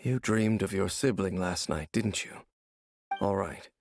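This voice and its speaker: Low, creaky, quietly watchful man. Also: a little slow. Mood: gentle concern. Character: calm, low-voiced man watching over a sleeping companion. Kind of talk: video game voice acting.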